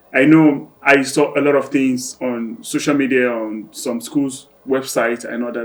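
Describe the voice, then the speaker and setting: A man's voice: medium pitch, poor audio. A man hosting a talk show in a studio. He talks in a loose, rambling, conversational way. He introduces guests and sets up the questions. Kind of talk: casual studio interview.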